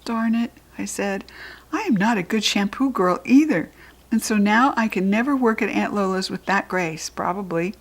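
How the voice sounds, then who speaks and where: An American woman reads a children's chapter book aloud in a voice of ordinary pitch for a woman. She leaps up into an excited, high-pitched voice for the child's quoted lines. She sinks to soft, breathy murmurs for the quiet asides. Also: normal speed. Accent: General American.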